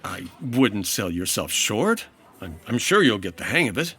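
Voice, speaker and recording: A man's voice: fairly low pitch, sing-song, unhurried, sharp tone, slightly rough, heavily acted. A man playing a patient, wry 1950s insurance investigator in old-time radio detective style, dry and understated. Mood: measured, reluctant. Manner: dry, understated, world-weary.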